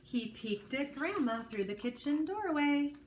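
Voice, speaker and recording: A woman teacher reading a children's storybook aloud expressively, pausing to explain things and put questions to the listener. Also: sits high, expressive. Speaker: early learning teacher.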